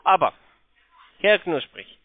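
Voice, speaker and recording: A man with a foreign accent speaking halting, non-native German as a call centre agent, hesitant and repetitive, often seeking confirmation. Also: sits high, sing-song. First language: not German. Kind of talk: improvised sales talk on a phone call. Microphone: telephone. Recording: muffled narrow-band phone line.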